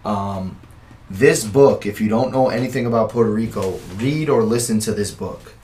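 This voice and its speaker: Male podcast host, low easygoing voice, earnest explainer; faint hiss in the background. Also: moderate pace, a little mellow. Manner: laid-back, affirming, explains history earnestly. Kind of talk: casual podcast chat.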